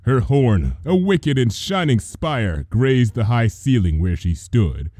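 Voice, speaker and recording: A man narrates fan fiction with theatrical expression. His pitch is highly animated and sits in a middle male range. The recording is dry, with little room sound. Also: takes it slowly, no room sound. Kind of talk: expressive dramatic story narration.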